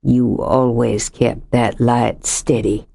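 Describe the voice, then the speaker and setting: Woman putting on a low, grieving widower. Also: fairly even intonation, slow pace, creaky, mellow, husky, full character voice. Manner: low, heavy and bitter. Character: grieving stubborn middle-aged widower, rough-edged. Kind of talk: audiobook character reading.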